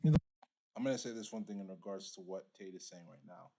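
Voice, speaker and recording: A man's voice: sits low, normal speed, creaky, warm tone. A man whose first language is Igbo, giving casual reaction commentary and lecturing his viewers directly. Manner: preachy, addresses viewers directly. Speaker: reaction streamer.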